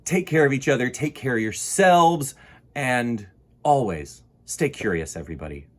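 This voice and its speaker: A man hosting a children's storytime, chatting casually and encouragingly to young viewers in a husky voice. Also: medium pitch, normal speed. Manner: animated, encouraging, simple direct questions.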